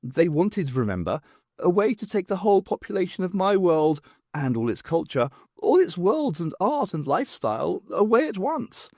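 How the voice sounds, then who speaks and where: Audiobook alien, ancient male rebel poet, last survivor, high lilting delivery, rambling and wistful, performed by a man. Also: very animated, moderate pace, a little mellow, dry recording, clean studio sound, full character voice. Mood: eager reminiscing.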